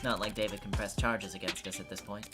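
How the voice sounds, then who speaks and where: Woman as a very deep, sardonic game investigator. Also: steady tone, quick pace, over loud music. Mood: wry pressure. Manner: dry, sardonic, offhand. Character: cynical, sardonic investigator, cool and sharp-witted. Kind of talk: voice acting a visual novel game.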